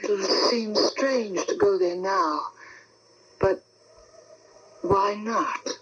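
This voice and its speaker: A woman playing a grieving, haunted wife in a 1970s radio drama, speaking deliberately on a dull AM broadcast. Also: mid-range voice, breathy edge. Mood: sad, resigned. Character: grieving, haunted wife recounting her husband's disappearance.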